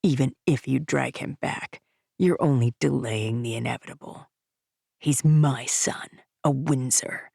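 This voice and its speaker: A man's voice: mid-range voice, measured pace, vocal fry, mellow, slightly rough, dry recording, clean studio sound, voice pushed down. A woman voicing a smug, wealthy man talking down to his ex-wife in a condescending, pushy way. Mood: smug, contemptuous. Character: smug wealthy adult man, scornful ex-husband.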